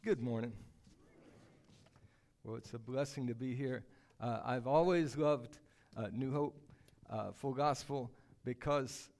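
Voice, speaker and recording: A man speaking as a guest missionary at church, greeting the congregation in a halting way with many uhs and repeated words. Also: mid-range voice.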